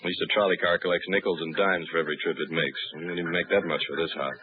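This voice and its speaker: A man's voice: deep voice, steady tone, racing, lightly acted. A man playing a tough, wisecracking freelance cargo pilot in a 1950s radio drama, narrating and trading banter. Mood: wry, confiding. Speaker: lead actor, also narrating. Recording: tinny band-limited old radio, music behind.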